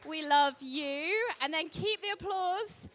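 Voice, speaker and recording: A woman's voice: very high voice, takes it slowly, a little hiss or hum. A woman emcee announcing and hyping up a competitor at a live event, heard through a distant PA. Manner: building to a shout.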